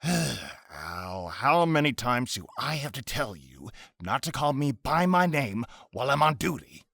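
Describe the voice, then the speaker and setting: Raspy, weary superhero. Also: male voice, medium pitch, very animated, unhurried, fairly bright tone, dry recording, clean studio sound, exaggerated voice. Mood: weary exasperation. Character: exasperated, deadpan male small-time superhero on duty. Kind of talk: audio drama acting.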